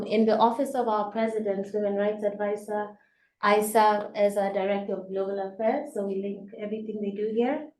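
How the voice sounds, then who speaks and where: A woman's voice: mid-range voice, darkish tone. A woman from Kenya introducing herself and her work as a guest speaker in a presentation to an audience. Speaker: guest speaker, legal and human rights advisor. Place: indoor event space. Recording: echoey room with faint noise.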